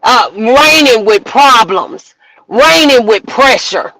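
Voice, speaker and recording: A woman minister hosting a livestream, giving an emphatic, preacherly motivational talk that at times rises to shouts. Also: on the high side, lively intonation, takes it slowly, no echo, poor audio. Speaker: minister and host. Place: outdoors walking in the rain.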